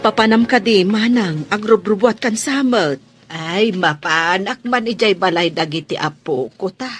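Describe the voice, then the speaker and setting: A woman's voice: mid-range voice, measured pace, faint music behind, lightly acted. A woman with a Filipino accent acting a friendly, sympathetic, chatty village woman in a radio drama.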